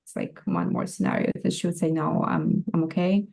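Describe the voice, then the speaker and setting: Very mellow woman, thinking aloud. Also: deep voice, sing-song, fairly quick, creaky. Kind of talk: casual discussion, explaining an idea.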